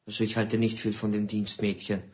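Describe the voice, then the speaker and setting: A man's voice: on the low side, completely flat delivery, fairly quick, slight background noise, lightly acted. A man playing a sick, grudging husband in a radio play, terse in his speech.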